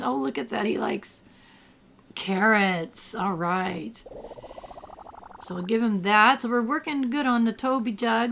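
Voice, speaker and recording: Older woman, gravelly and a bit rough in the throat from allergies, casual chatty gameplay commentary, mid-pitched. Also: a bit bright. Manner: chatty, thinks aloud, cheers at the game.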